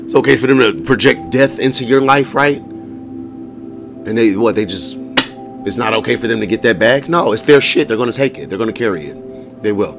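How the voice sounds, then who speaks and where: A hoarse man giving a casual tarot reading to viewers, blunt and profane, often laughing as he talks. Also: medium pitch, expressive, normal speed. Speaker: tarot reader. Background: music.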